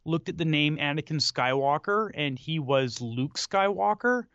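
A man chatting casually as a podcast co-host, halting and searching for words, with dry tongue-in-cheek humor. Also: a little high, animated, fairly bright tone, dry recording, dull audio. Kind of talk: casual film discussion.

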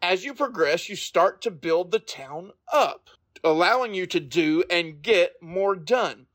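A man's voice: sits high, expressive, unhurried, a bit bright, no room sound, studio quality. A man hosting a podcast and reading a scripted review.

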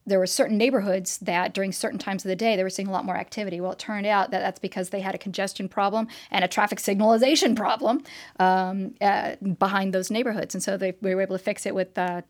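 A woman explaining technology as an interview guest, animated and talkative with frequent fillers and restarts. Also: medium pitch, quick pace. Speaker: tech expert guest. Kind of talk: casual interview chat. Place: trade show floor.

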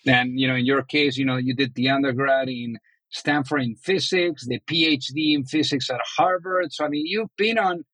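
A man's voice: medium pitch, moderate pace, a bit bright, no room sound. A man hosting a podcast interview, chatty and full of fillers. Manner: chatty, many fillers like "you know". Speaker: podcast host. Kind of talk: casual interview.

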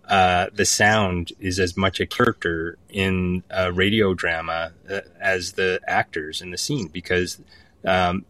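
A man's voice: low-pitched, fairly bright tone, no echo. A man talking as an interview guest, telling anecdotes conversationally with enthusiasm and repeating words for emphasis. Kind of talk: animated conversational storytelling.

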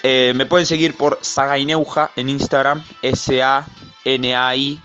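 A man's voice: medium pitch, little pitch movement, unhurried, fairly bright tone. A man records a casual voice message about himself. He rambles along and pokes fun at himself. The sound is dull and lo-fi, with faint music underneath. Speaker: fan sending a voice message. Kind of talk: casual solo monologue introducing himself.

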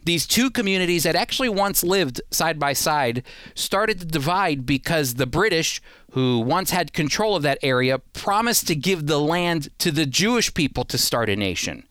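A man with a slightly high, very clear and smooth voice presents as a show host. He explains history directly to listeners, repeating key points for emphasis. The sound is close and dry. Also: expressive, moderate pace, a bit bright, dry recording.

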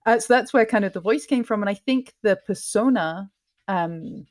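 A woman from Scotland with a mixed, mostly English accent, speaking softly in a lowered tone as a guest in a casual interview, laughing often. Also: normal speed. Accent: mixed, mostly English with some Scottish. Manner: soft lowered tone, laughs often, many ums. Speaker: podcast host, guest.

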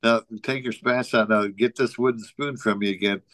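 A man's voice: mid-range voice, fairly even intonation, fairly quick, a bit bright, no echo. A man co-hosting a casual talk show, telling an anecdote in a rambling way with lots of "you know" and frequent chuckles. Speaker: podcast co-host.

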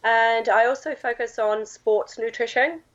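A woman from England with a husky voice, chatting as a guest and explaining at length with many fillers. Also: fairly high pitch, steady tone, measured pace. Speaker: guest, health science lecturer. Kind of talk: phone-in podcast interview. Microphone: phone line. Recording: dull lo-fi phone line.

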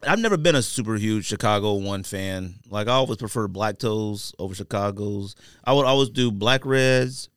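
A man's voice: fairly low pitch, moderate pace, few pauses, clear, resonant tone, no room sound, studio quality. A man co-hosting a podcast in casual, slangy banter. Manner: informal, slangy talk. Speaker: podcast co-host.

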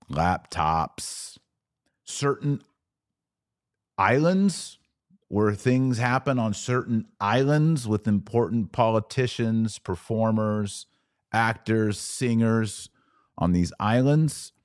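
A low-pitched American man hosts a live commentary podcast in a casual, conversational way. He stretches key phrases for emphasis and likes to pose rhetorical questions. The recording is dry, with very little room sound. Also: darkish tone, no room sound. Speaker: podcast host.

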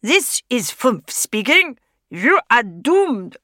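A woman voicing an eerie male scarecrow made of sackcloth and straw, speaking slowly and mournfully and repeating old radio catchphrases. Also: fairly high pitch, big pitch swings, pushed and bright, dry recording, studio quality, full character voice.

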